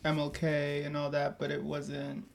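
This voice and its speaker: A man's voice: fairly high pitch, monotone, measured pace, vocal fry, slight background noise. A young American man chatting casually as a podcast guest. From United States. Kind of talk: casual conversation.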